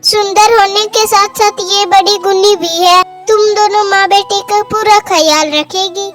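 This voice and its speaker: Cartoon granny neighbor, busybody matchmaker, extreme high pitch, voiced by a woman. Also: fairly even intonation, unhurried. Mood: coaxing. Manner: chatty, coaxing. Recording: clear music bed under the voice.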